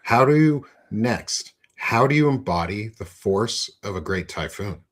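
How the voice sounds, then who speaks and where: A man's voice: on the low side, animated, unhurried. A man hosting a podcast interview, encouraging and joking in a laid-back way. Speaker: podcast host. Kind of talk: casual interview conversation.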